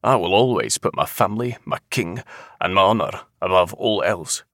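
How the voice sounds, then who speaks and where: A man playing a proud, defiant clansman, gruff and emphatic, in a dramatic audiobook. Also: sits low, expressive, normal speed, fairly bright tone, no echo, clean studio sound. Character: proud, defiant, brooding male Scottish clansman.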